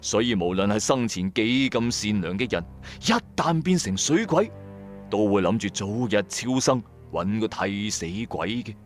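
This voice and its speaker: Cantonese man telling a lively, suspenseful ghost story. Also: on the low side, measured pace. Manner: colloquial, dramatic, suspenseful storytelling. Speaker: storyteller, narrator of an audio drama. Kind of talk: first-person ghost story narration. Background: music.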